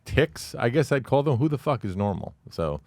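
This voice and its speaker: A man's voice: mid-range voice, big pitch swings, normal speed, darkish tone, dry recording, clean studio sound. A man in his late forties, a guest on a podcast, talking in a blunt, profane, brash storytelling way. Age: 40s. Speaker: podcast guest, comedy club owner. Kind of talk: casual podcast chat.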